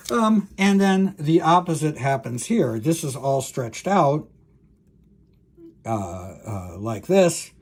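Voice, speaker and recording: Man, somewhat higher-pitched, rough husky voice, professor, informal technical explanation, halting delivery, self-correcting, direct address. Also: big pitch swings, a little mellow. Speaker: university professor lecturing.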